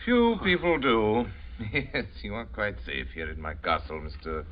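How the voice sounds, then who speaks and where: Deep, suave, menacing aristocratic general. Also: male voice, huge pitch swings, slight background noise. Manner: formal, unhurried, theatrical. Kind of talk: 1940s radio acting. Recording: muffled old radio recording.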